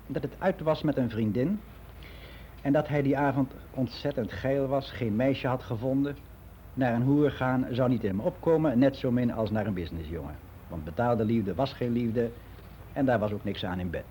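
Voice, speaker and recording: Mellow-voiced, candid older man, played by an actor. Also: medium pitch, a little hiss or hum, lightly acted. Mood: recounting, wistful. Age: 60s. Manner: frank, reflective, halting with self-corrections. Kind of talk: confessional monologue in a radio play.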